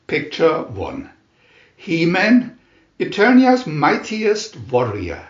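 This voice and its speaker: A man reading a storybook aloud as dramatic narration, in a theatrical, deliberate manner with emphatic announcements. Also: on the high side, huge pitch swings, roomy sound, highs cut off. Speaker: reader of picture-reel story booklets.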